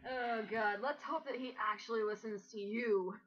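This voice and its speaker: A woman hosting casual, mocking commentary, sarcastic and animated in a rambling way. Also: a little high, normal speed, highs cut off. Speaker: host of an amateur critique.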